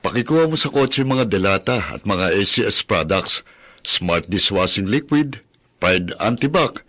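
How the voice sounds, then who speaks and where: An actor playing a dutiful, polite grown son in a radio drama, matter-of-fact in delivery. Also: male voice, on the low side, animated, moderate pace, pushed and bright. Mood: casual, brisk. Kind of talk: Tagalog radio drama acting.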